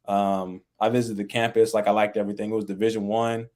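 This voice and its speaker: A young man talking casually as a podcast guest, relaxed and full of fillers, raised in the American Midwest. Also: lowish voice, fast talker. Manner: relaxed, laid-back, many fillers like "like" and "you know". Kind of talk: casual interview chat.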